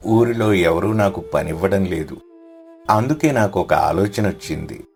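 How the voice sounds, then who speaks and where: A man's voice: deep voice, animated, a little slow, gravelly, a little mellow, soft background music, full character voice. A man voicing a poor, devout villager in a moral story, earnest and humble. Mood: hopeful. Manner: earnest, pleading.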